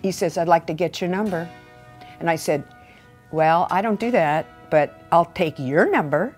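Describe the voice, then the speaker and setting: American woman, 60s, lowish voice, animated interview storyteller, soft music behind. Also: moderate pace. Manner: animated storyteller, quoting dialogue. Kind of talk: personal anecdote in documentary interview. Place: furnished living room. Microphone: lapel microphone.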